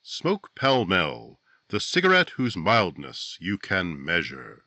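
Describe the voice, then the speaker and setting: Very deep, dramatic radio narrator, played by a man. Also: huge pitch swings, pushed and bright, no echo, faint music behind, exaggerated voice. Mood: emphatic, selling. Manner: dramatic, deliberate, emphatic. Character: grave, brooding male narrator of a true-crime radio drama.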